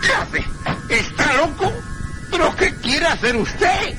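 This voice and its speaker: Radio drama old taxi driver: superstitious, excitable, very high voice, prominent music behind. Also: male voice, slow pace, heavily acted. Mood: angry alarm. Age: older. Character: old male taxi driver, servile yet hostile and superstitious.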